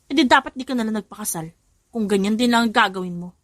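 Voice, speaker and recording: A woman's voice: fairly high pitch, fast talker, dry recording, studio quality, lightly acted. A woman voicing a feisty, wounded young bride in an audio story, indignant and sassy.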